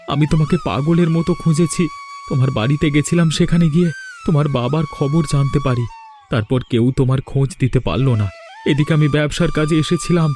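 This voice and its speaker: A man's voice: on the high side, a little mellow. A man voicing an earnest young husband in a Bengali cartoon, speaking emphatically. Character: earnest young husband pleading with his wife. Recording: music behind the voice.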